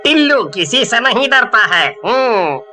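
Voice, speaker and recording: A man's voice: very high voice, big pitch swings, unhurried, bright, forceful tone, soft background music, exaggerated voice. A man voicing a cheeky, thieving village junk dealer in a horror story, brash and mock-innocent. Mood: defiant. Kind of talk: Hindi animated horror story acting.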